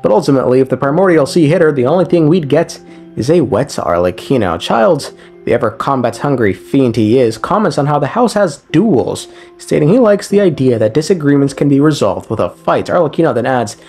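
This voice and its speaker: A man's voice: mid-range voice, expressive, a little fast, a little mellow. A young man narrating a casual, scripted game story recap with wry, irreverent, slangy asides. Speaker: game lore video narrator. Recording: music under the voice.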